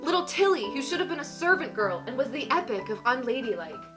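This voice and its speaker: Young woman, higher pitch, playful, giggly, dramatic story reading, casual asides, background music. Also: very animated, fast. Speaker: host.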